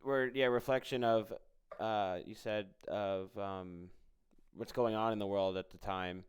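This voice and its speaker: A man hosting a casual interview, encouraging his guest and feeling his way through questions haltingly. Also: on the low side, fairly even intonation, clear, resonant tone. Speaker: podcast host and interviewer.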